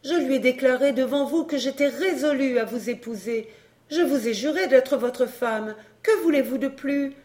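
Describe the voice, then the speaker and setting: A woman as a resolute, high-voiced young lady. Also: moderate pace, darkish tone, natural voice. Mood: earnest resolve. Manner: earnest, dignified. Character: Camille, proud, loyal, resolute young lady. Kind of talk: dramatised audiobook acting.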